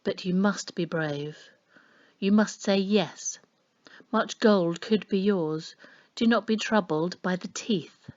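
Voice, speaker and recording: A woman voicing a gentle, solemn fortune-teller in a storybook, murmuring slowly and knowingly. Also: mid-range voice, lo-fi sound, lightly acted. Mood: solemn, prophetic. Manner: gentle, murmuring, slow and portentous. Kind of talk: children's storybook reading.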